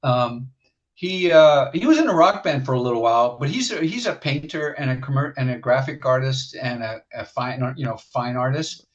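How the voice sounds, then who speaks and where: An older man from the Netherlands talks at length as a guest, telling stories and wandering off track with restarts and fillers. His voice sits at a middling pitch and sounds a little dull and lo-fi. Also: lively intonation, moderate pace. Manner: rambling, with many restarts and fillers. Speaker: musician guest. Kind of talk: casual interview storytelling.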